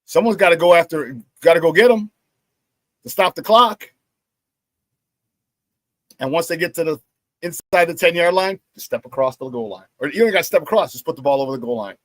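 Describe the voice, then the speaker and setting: Brief: middle-aged male sports talk host, hoarse, dry close mic, animated and repetitive. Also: a little high, brisk, pauses often, no room sound. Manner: animated storyteller who repeats phrases and laughs at his own stories. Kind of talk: casual sports talk monologue.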